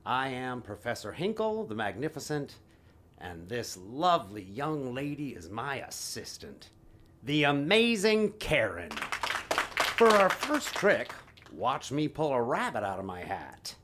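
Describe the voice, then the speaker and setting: Man as an earnest, slow-paced remorseful father. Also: mid-range voice, wildly swooping pitch, fairly bright tone, heavily acted. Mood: showy. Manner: earnest, pleading, halting. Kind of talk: audio drama acting.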